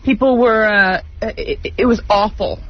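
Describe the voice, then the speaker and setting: A woman's voice: medium pitch, a little slow. A woman giving her opinion in casual radio chat. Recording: dull radio audio, some background noise.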